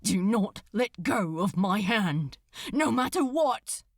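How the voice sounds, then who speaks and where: A woman's voice: mid-range voice, lively intonation, slow, dry recording. A woman acts out a male demon from a dramatic audiobook. He is fiercely protective and desperate, speaking in jagged, urgent words that edge into a moan. The sound is close and dry.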